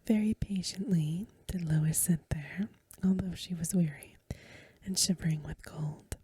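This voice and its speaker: Very breathy, whispering woman reading aloud. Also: mid-range voice, dry recording. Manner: gentle, hushed, measured phrasing. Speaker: ASMR reader. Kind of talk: soft-spoken ASMR storybook reading.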